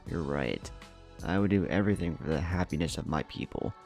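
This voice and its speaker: A man's voice: a bass voice, lively intonation, a little fast, gravelly, darkish tone, soft background music, heavily acted. A man voicing a headstrong young male ninja who speaks bluntly and challenges with questions in a story. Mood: questioning.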